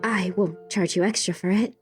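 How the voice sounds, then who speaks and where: A woman's voice: mid-range voice, steady tone, normal speed, close to an everyday voice. A woman playing a timid, courteous village seamstress who stammers, in a fan audio drama. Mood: timid, helpful. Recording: music under the voice.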